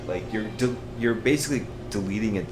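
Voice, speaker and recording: A man with a low voice chats casually on a podcast panel, feeling his way through his points with frequent filler phrases. Music plays clearly along with his speech. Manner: halting, frequent "like" and "kind of". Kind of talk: casual chat.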